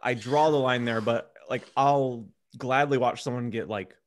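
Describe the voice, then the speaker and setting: A man's voice: medium pitch, fairly even intonation, normal speed. A man co-hosting a podcast, chatting casually in an informal, rambling way. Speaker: podcast co-host. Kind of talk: casual chat.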